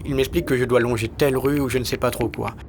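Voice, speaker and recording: A man's voice: mid-range voice, fairly even intonation, fast, slight background noise. Understated man narrating a short story. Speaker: narrator of his own audio short story. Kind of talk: scripted first-person literary narration. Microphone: studio recording.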